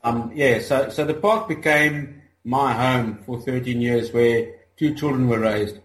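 A man's voice: medium pitch, fairly even intonation, measured pace, a little hiss or hum. South African man in an echoey room. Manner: hesitant, many fillers and restarts. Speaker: guest, rhino conservationist. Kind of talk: casual interview chat.

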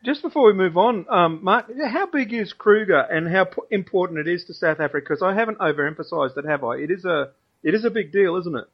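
A man's voice: high voice, lively intonation, a little fast, muffled recording. A man interviewing a guest, asking eager, chatty questions.

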